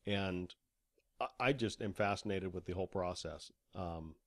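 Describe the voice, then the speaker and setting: A man's voice: sits low, normal speed, a bit bright, no room sound, studio quality. A man speaking casually as an author being interviewed on a podcast.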